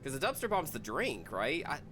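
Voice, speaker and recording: A man's voice: mid-range voice, huge pitch swings, brisk, sharp tone, a little hiss or hum. A man chatting casually and telling rambling anecdotes as a co-host of a gaming show. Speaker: video game show co-host. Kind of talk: casual chat.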